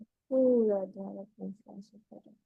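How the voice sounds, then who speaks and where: A woman leading a guided meditation in a calm, unhurried, soothing manner. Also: mid-range voice, vocal fry, breathy edge, dry recording, narrow, tinny audio. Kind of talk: slow guided meditation instruction.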